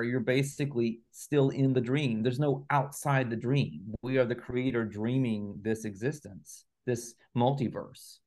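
Reflective man explaining ideas, medium pitch. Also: darkish tone. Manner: reflective, explains through analogies and examples. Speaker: interview guest, author. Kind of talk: conversational explanation.

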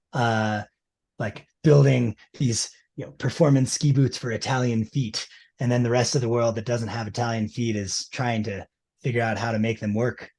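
A man's voice: medium pitch, darkish tone. A man with a mid-range voice, explaining things keenly and at length. He talks casually and fills his sentences with "you know" and "like". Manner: enthusiastic, explanatory, many fillers. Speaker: ski boot liner maker representative. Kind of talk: casual interview chat.

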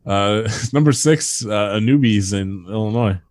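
A man's voice: fairly low pitch, a little slow, a little mellow, dry recording. A man hosting a comedy podcast, reading strange stories aloud and breaking into laughter and asides. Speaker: podcast host reading a countdown list.

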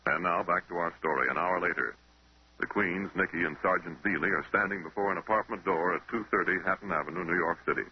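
A man's voice: sits low, fast, breathy edge, muffled recording. A man working as a radio announcer in the 1930s, reading a script on an old broadcast recording.

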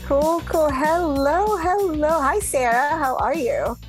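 A woman's voice: high-pitched, lively intonation, slow pace, soft background music. A woman hosting a live podcast interview, bubbly and emphatic with lots of fillers. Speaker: podcast host.

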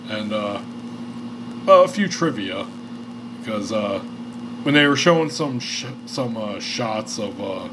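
A man's voice: mid-range voice, background noise. A man in his thirties hosting a podcast, telling a story in a rambling, profane way with lots of fillers. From Michigan, United States. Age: 30s. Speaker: podcast host. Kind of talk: casual podcast chat.

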